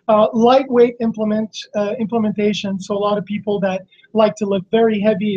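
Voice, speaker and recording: A hoarse man with laryngitis, a fitness coach teaching on a live stream in a halting, explanatory way with many restarts. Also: high voice, darkish tone. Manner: explanatory, frequent fillers and restarts. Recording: slightly dull lo-fi stream audio.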